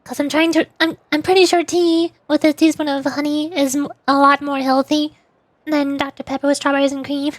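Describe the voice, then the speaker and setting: A woman's voice: high voice, little pitch movement, a little fast, no echo. A woman streamer chatting casually and rambling, often giggling as she answers her chat.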